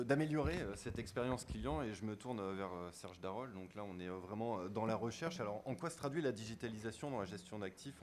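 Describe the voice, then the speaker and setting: A man moderating a conference panel in a lecture hall, briskly putting questions to the speakers. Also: fairly low pitch, a little mellow, slight background noise.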